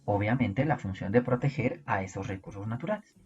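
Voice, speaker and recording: A man's voice: on the low side, expressive, a little fast, a little mellow, highs cut off, faint music behind. A man with a Peruvian Spanish accent teaching an online biology class, explaining step by step and asking his students rhetorical questions. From Peru.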